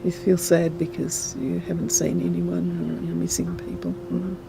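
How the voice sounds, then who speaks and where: A woman's voice: fairly low pitch, completely flat delivery, normal speed, gravelly, music in the background, rough recording. An older woman giving a reflective, hesitant personal account in an interview.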